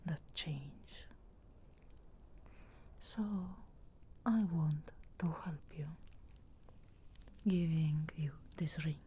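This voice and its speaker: A woman voicing a sarcastic, guardedly caring witch of the wilds in a slow, breathy whisper for an ASMR fantasy roleplay. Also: low voice, dark tone, slight background noise, heavily acted. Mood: solemn, caring. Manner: slow, measured, archly formal phrasing.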